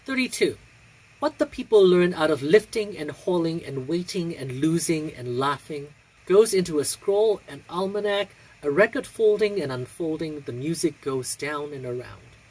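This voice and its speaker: Husky, higher-pitched theatrical man. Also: animated, moderate pace, a little mellow, poor audio. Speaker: drag performer and reader. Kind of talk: casual vlog chat and expressive poetry reading. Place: small room indoors. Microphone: phone on a stand a short distance away.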